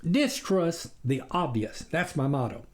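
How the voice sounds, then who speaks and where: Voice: mid-range voice, very animated, measured pace. Seseorang menyuarakan serigala licik bermulut manis, intonasinya sangat hidup. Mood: preachy. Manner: persuasive, preachy, indignant sweet-talk. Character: sly, smooth-talking wolf-like stranger posing as vegan.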